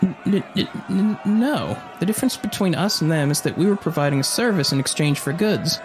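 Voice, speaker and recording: An actor playing a cocky, flirtatious acrobat and wisecracking survivor, glib and animated, in an audio drama. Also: male voice, fairly high pitch, a little fast, soft background music, natural voice. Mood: eager.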